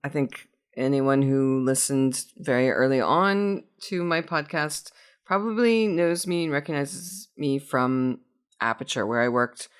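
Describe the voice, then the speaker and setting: A woman's voice: a bass voice, a little slow, clear, resonant tone. A woman speaking as a podcast guest in casual interview chat.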